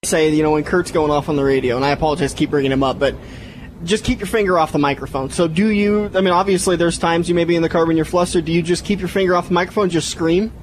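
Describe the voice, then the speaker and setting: A man's voice: a little high, fairly even intonation, fast talker, a little hiss or hum. A man hosting a sports radio show, chatting casually and jokingly, rambling between interviews and sponsor reads.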